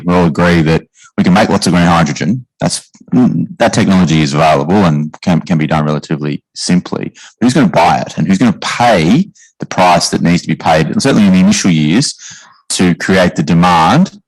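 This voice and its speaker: A man's voice: sits low, no echo, poor audio. A man moderating a conference panel, often repeating words and restarting his phrases.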